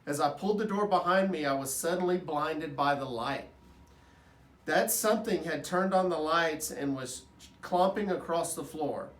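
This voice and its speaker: A man reads a children's story aloud with expression. His voice sits a little high, and it sounds roomy, set back from the microphone. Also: moderate pace, fairly bright tone. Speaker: storybook reader at an elementary school. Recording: roomy echo, distant microphone.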